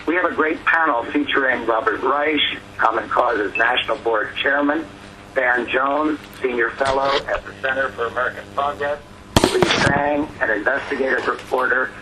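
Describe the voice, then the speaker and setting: A man speaks as the moderator of a telephone conference call. His voice is bright and forceful as he delivers prepared remarks introducing a panel. There is faint noise on the call. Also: mid-range voice. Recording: noisy teleconference call audio.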